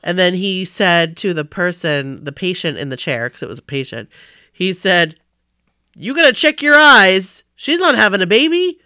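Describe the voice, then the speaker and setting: A woman's voice: on the low side, expressive, normal speed, pushed and bright, clear, resonant tone, dry recording, studio quality. A woman co-hosting a casual podcast, telling anecdotes and laughing often. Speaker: podcast co-host. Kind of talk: casual chat. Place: basement room under an air conditioner.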